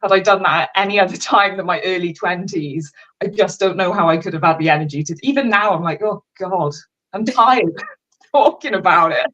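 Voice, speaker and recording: A woman's voice: fairly low pitch, a little fast, a bit bright, muffled recording. Chatty Welsh woman in her thirties. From Wales. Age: 30s. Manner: chatty, candid, self-mocking, swears casually. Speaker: guest, fashion designer. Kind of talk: casual livestream interview chat.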